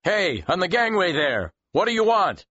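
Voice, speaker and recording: Bright, brisk young first mate. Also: male voice, high voice, very animated, dry recording, heavily acted. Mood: challenging. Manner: brisk, calling out plainly. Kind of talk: full-cast audiobook acting. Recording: dull, slightly muffled audio.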